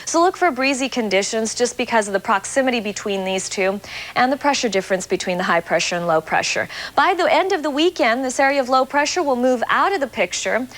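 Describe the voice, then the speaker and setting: A woman's voice: on the high side, animated, fairly quick, a bit bright. A woman weather anchor giving a live television forecast in an informal, explanatory way. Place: television studio.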